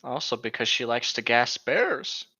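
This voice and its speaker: Dude co-hosting, bright punchy voice, jokey banter or reading out loud, mic's a bit muffled lo-fi. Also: male voice, mid-range voice, lively intonation, unhurried. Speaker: co-host reading a novel aloud. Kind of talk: casual joking banter and read-aloud narration. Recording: slightly dull, lo-fi mic.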